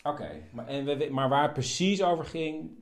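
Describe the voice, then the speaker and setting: A man's voice: on the high side, a little fast. A man, talking casually and telling a personal story as host and narrator. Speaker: host and narrator, interviewing his father.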